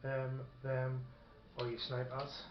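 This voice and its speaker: A man's voice: medium pitch, completely flat delivery, slow. Man thinking aloud in a roomy, muffled recording. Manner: hesitant, thinking aloud while checking rules. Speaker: tabletop wargame player. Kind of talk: casual game chat, partly reading rules aloud. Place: indoor room during a filmed tabletop game. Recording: roomy, dull, faint background noise. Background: faint noise, radio playing.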